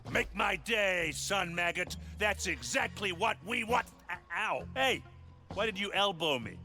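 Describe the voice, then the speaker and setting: An actor playing a goofy, big-hearted, food-loving warrior in an animated video game, jokey and laid-back. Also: male voice, sits high, measured pace, soft background music, full character voice. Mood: cocky, goofy.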